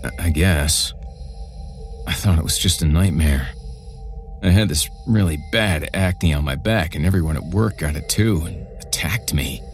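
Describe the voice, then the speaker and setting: A man's voice: a bass voice, a little slow, faint music behind. A man voicing a bewildered, frightened hospital patient with an anxious, pleading manner in a horror story. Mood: confused.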